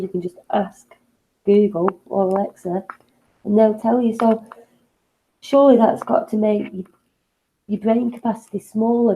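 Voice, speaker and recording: A woman co-hosting a casual talk show, sniffly and congested from a cold, speaking thoughtfully with pauses and fillers. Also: medium pitch, creaky, narrow, tinny audio, a little hiss or hum. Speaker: co-host.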